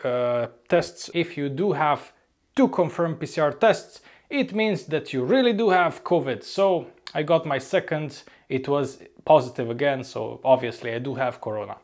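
Upbeat young man, slightly hoarse. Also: a little high, animated, normal speed. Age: young adult. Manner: chatty, upbeat, often says "ladies and gents". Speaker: vlogger, flight crew member. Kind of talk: casual vlog to camera. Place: apartment room, indoors. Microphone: lapel mic.

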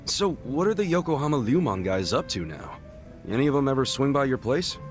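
A man's voice: mid-range voice, big pitch swings, fairly quick, soft background music, lightly acted. A man voicing a blunt, earnest, streetwise middle-aged underdog in a video game, slangy and frank. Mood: curious. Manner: blunt, slangy, incredulous questioning. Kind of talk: video game cutscene dialogue acting.

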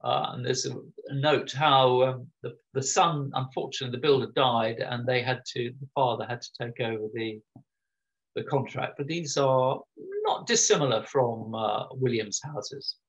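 A man's voice: mid-range voice, fairly even intonation, normal speed. Chatty older man, lo-fi call. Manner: chatty, informal, with frequent ums and restarts. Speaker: local history lecturer. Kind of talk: illustrated online history talk. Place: home room. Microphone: video call. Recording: dull lo-fi video call audio.

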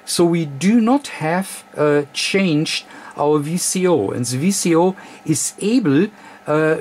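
A man who is a non-native English speaker gives a technical walkthrough as an electronics presenter, speaking haltingly with many uhs. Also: a little high, darkish tone, slightly rough. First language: not English. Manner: halting, hesitant, frequent uhs. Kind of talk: technical walkthrough of a radio modification. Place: indoor electronics workbench, off camera.

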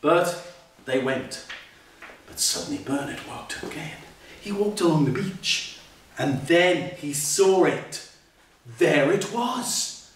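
A man's voice: on the high side, animated, slow, long pauses. An older man reading a children's storybook aloud theatrically, with exclamations and playful asides. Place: indoor curtained stage. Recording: echoey hall, distant mic, faint noise.

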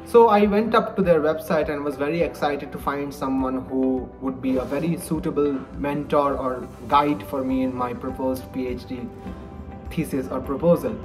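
A man's voice: fairly high pitch, poor audio. Earnest young Indian man, faint music. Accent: Indian English. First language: Bengali. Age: 20s. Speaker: vlogger, college lecturer. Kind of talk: personal advice vlog to camera. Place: home study lined with bookshelves, indoors. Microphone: webcam-style framing, head and shoulders.

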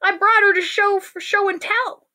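A woman's voice: very high voice, steady tone, normal speed, sharp tone, pitched above the performer's own voice. A woman voicing a flustered young schoolboy, indignant and exclaiming, in a children's story. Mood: defensive. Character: flustered young schoolboy protesting to grown-ups.

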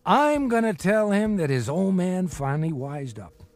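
An actor playing a charming, hard-drinking middle-aged bookie in a radio drama, full of flowery, mock-grand flattery. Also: male voice, high-pitched, moderate pace. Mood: boastful, resolute.

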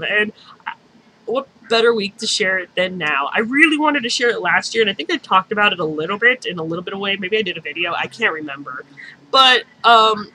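A young adult woman chatting casually to the camera in an excitable, rambling way, recorded on a camera mic in a small room. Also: mid-range voice. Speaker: vlogger. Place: small bedroom, indoors. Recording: clearly noisy home camera recording.

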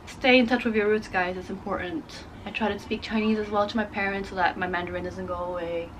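A woman's voice: medium pitch, fairly even intonation, fairly quick, a little hiss or hum, rough recording. Chatty young woman vlogging at home. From Portugal. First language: Portuguese. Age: 20s. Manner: chatty, rambling, frequent "like" fillers. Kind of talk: casual vlog chat. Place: bedroom at home. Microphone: camera on a desk in front.

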